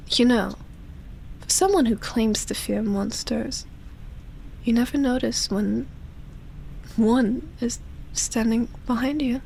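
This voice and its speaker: Girl playing a lonely old vampire lady, asmr roleplay, super slow breathy whispery teasing voice, bit of background noise. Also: on the high side, darkish tone, low-quality recording. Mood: tender, confessing. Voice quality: breathy, often dropping into a whisper. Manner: slow, drawn-out, teasing, with lingering pauses.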